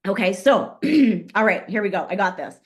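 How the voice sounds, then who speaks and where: Throat-clearing woman fighting a cough. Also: medium pitch, animated, moderate pace. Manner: keeps clearing her throat. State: coughing, voice strained. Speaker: guest speaker on a business call. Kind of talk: upbeat motivational training talk.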